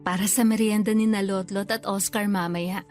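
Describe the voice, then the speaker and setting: A woman's voice: medium pitch, steady tone, moderate pace, a little mellow, soft background music, natural voice. A woman playing a caring, homely mother in a radio drama, speaking with attentive affection.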